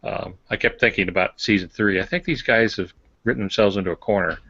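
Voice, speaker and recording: A man in casual talk about writing craft as a podcast panelist, explaining haltingly with dry humor. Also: on the low side, fairly bright tone, breathy edge, dry recording, muffled recording. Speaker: fiction writer, podcast panelist.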